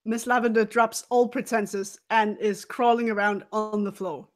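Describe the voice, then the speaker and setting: Medium-pitched woman roleplaying in a game. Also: steady tone, normal speed. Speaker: player in a tabletop role-playing game. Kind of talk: improvised roleplay and narration.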